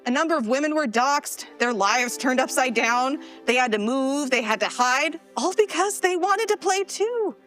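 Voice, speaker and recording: A woman playing an excitable, earnest geeky heroine in a live sci-fi comedy drama, speaking emphatically. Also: high voice, normal speed, few pauses, faint music behind. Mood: earnest pleading. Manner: expressive, emphatic, pleading.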